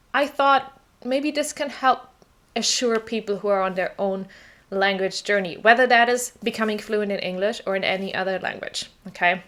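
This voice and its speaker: A woman's voice: medium pitch, fairly bright tone. A woman from southern Germany with a German accent, a native German speaker and English teacher, telling her language-learning story in a chatty vlog, often laughing and checking in with "okay?".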